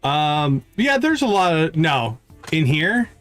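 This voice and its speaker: A man livestreaming a game, thinking aloud in casual commentary and reading item stats in a deliberate, musing way. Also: a little high, very animated, a bit bright, no echo, faint music behind.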